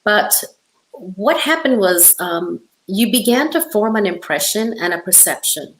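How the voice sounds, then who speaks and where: A woman's voice: medium pitch, animated, a little slow. A middle-aged woman from Hong Kong, an author talking as an interview guest in an emphatic, conversational storytelling way. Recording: roomy, echoey sound.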